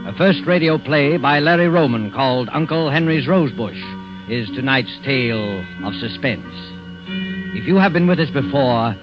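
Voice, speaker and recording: An actor playing an ominous, formal suspense host, reading a measured, portentous introduction in 1940s radio style. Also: male voice, mid-range voice, lightly acted. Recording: muffled vintage radio, prominent music behind.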